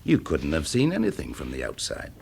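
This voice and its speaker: An actor with an English accent playing a shrewd, genial, commanding fire investigator in a classic radio drama, polite but probing. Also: male voice, extremely low pitch, huge pitch swings, fairly quick, gravelly, a little mellow, breathy edge, exaggerated voice. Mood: coolly confident. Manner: polite, probing, confidently in charge.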